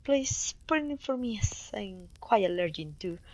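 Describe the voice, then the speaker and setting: A woman voice acting a clumsy, kindly, apologetic witch in an ASMR fantasy roleplay, with a gentle, polite, slightly old-fashioned way of talking. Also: medium pitch, lively intonation, moderate pace, dry recording, natural voice. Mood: flustered, searching.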